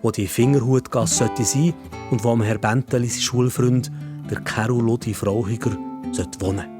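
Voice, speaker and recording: A man's voice: mid-range voice, steady tone, moderate pace, over music. A man with a Swiss German accent narrating a children's story in the first person, in simple, conversational phrasing.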